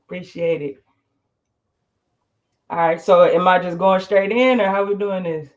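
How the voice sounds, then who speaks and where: A woman's voice: on the low side, steady tone, unhurried, dull audio. A woman guest rapper chatting in casual slang on a live stream.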